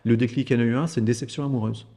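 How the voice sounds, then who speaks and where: A man's voice: mid-range voice, fairly even intonation, rapid-fire, dark tone, big-room sound. A man in his fifties from Alsace, a podcast guest talking casually, with many repeated words and fillers. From Alsace, France. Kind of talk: casual conversation.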